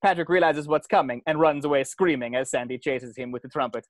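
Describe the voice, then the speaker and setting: A man's voice: a little high, brisk, no echo, studio quality. A man narrating stage directions from a script during a cartoon table read.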